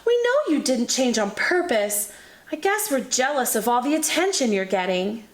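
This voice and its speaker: Sweet storybook girl leaf, bright and excitable, lots of swoops; woman reader voices her. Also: on the high side, moderate pace, roomy sound. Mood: earnest. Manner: bright, exclaiming. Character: cheerful girl maple leaf, a bit jealous.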